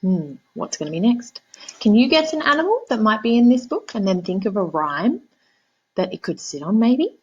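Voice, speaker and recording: A woman's voice: mid-range voice, big pitch swings, normal speed, husky, muffled recording. An Australian woman, a primary teacher, reading a storybook to young children, explaining words and prompting them with questions.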